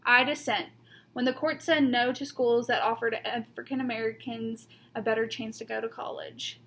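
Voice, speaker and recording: A woman reading a children's picture book aloud like a teacher, with deliberate emphasis on key words. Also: a little high, flat delivery, a bit bright. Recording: noticeable background noise.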